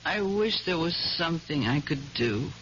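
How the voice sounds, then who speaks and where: An actress playing a gravely ill, gentle wife in an old-time radio drama, drowsy and languid. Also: female voice, deep voice, lively intonation, unhurried, over loud music. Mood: weary, tender. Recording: muffled, noisy vintage radio recording.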